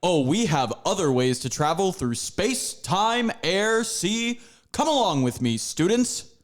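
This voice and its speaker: A man's voice: sits high, sing-song, unhurried. A man voicing a theatrical, upbeat panther mascot who leads students through time. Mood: grand.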